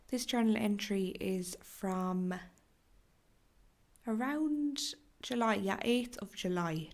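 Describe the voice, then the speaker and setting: An Irish woman hosting a solo podcast, her voice hoarse and crackly from a cough, talking in a gentle, chatty way with fillers and restarts. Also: mid-range voice. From Ireland. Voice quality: crackly, breathy in places. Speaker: podcast host.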